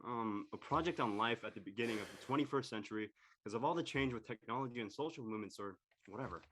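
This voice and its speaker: A man's voice: medium pitch, fast talker, fairly bright tone, lightly acted. A man playing an exasperated, snarky teenage son in an audio drama.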